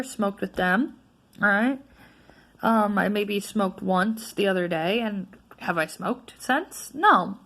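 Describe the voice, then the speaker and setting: A woman vlogger with a naturally loud voice, venting in a casual, frustrated rant that is full of tag questions. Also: mid-range voice. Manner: frustrated, ranting, frequent "right?" tags.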